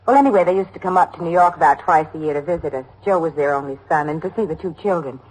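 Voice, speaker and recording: A middle-aged American housewife, with a husky, slightly rough-edged voice. She is homely and chatty, rambling along and anxious to please, in the manner of an old radio drama. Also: sits low, quick pace, a little mellow, rough recording. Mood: matter-of-fact reminiscing.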